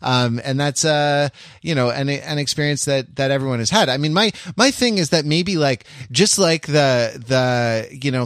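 A man in a casual analytical podcast discussion, talking in a halting, rambling way as he thinks aloud. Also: mid-range voice, no room sound, clean studio sound. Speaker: podcast panelist.

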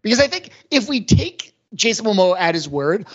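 A man's voice: sits high, big pitch swings, normal speed, slightly rough, highs cut off. A man co-hosting a podcast, casually picking things apart in an analytical, wry, rambling way. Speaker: podcast co-host. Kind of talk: casual film discussion.

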